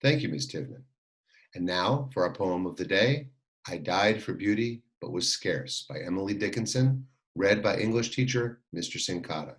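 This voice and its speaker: A man's voice: sits low, lively intonation, moderate pace. A man hosting a scripted school announcement and introducing segments. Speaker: announcement host.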